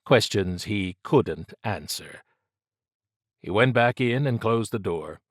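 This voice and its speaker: A male audiobook narrator with a fairly low voice. He reads fiction in a measured, dramatic storytelling manner, and the recording is dry and free of room sound. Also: animated, no echo.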